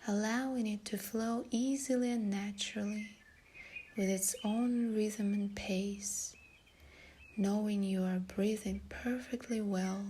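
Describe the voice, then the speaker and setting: A woman leading a guided meditation in a soft, breathy, soothing delivery. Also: medium pitch, slight background noise.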